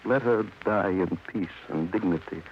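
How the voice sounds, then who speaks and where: Actor as a folksy, low-voiced old-radio New doctor. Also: male voice, normal speed, lightly acted. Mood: earnest pleading. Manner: folksy, avuncular, rambling. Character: kindly, talkative, wry New York police doctor. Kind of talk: 1950s radio drama acting. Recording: muffled, hissy vintage radio recording.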